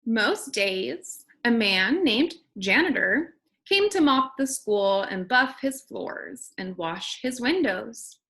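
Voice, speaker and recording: Animated, slow-paced woman reading a storybook. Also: fairly high pitch. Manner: deliberate, addresses young listeners. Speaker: kindergarten teacher reading aloud. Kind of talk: expressive children's storybook read-aloud.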